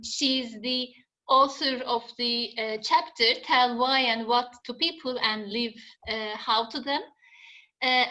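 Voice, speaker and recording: A woman's voice: a little high, bright, forceful tone. A woman hosting an online session, introducing the speaker in a formal, hesitant way. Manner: formal, hesitant reading of a bio. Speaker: session host.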